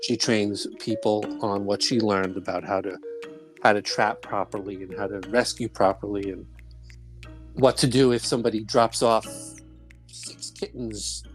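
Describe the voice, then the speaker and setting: A man's voice: lowish voice, fairly even intonation, moderate pace, faint music behind, poor audio. Chatty middle-aged podcast host man. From Brooklyn, New York. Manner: chatty and rambling, with laughs. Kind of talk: casual chat and interview.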